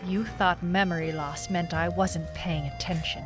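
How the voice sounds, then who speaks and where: A woman's voice: on the low side, steady tone, unhurried, over music, natural voice. An actress playing a tough, defiant female private eye, narrating a noir audio drama in a cool, clipped manner.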